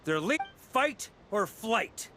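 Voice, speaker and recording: A man voicing a hearty, bold knight commander in a video game, calling out in a booming battle manner. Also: sing-song, slow pace, full character voice. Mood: rallying. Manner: booming, shouting orders. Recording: clear battle noise behind.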